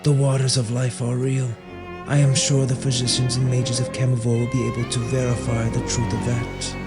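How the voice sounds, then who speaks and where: A man playing a scheming warden in a fantasy audio drama, speaking in a formal, measured, conspiratorial way. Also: mid-range voice, completely flat delivery, loud music behind the voice, lightly acted. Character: scheming male warden offering secret aid for reward.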